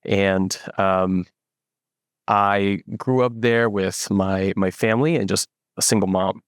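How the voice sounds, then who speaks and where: A young man from the Philippines, a startup founder, telling his story in a relaxed interview with lots of fillers and restarts. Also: deep voice, takes it slowly, smooth, no room sound, studio quality. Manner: reflective, many fillers and restarts. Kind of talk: casual interview.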